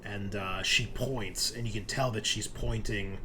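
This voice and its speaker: A man's voice: fairly low pitch, fairly even intonation, measured pace, bright, forceful tone, a little hiss or hum. A man narrating a tabletop horror roleplaying game as game master, in a conversational, descriptive, improvised way.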